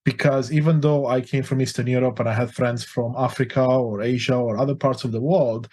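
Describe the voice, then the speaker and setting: A man's voice: medium pitch, steady tone, fairly quick, dark tone. A forty-year-old man from Romania, a life coach telling his life story in an interview, earnest and open. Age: 40s.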